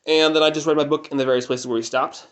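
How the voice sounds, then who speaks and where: A man's voice: a little high, steady tone, fast. A nasal young man giving a wry, rambling recap of a family trip in mock-formal wording. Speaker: vlogger.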